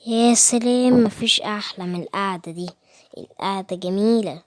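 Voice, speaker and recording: A lazy cartoon lion king lounges and grumbles, then barks orders in a low, easy tone, as a woman plays him. Egyptian accent, dry and close to the mic. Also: moderate pace, no echo, natural voice. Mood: contented. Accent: Egyptian Arabic. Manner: relaxed, grumbling, bossy.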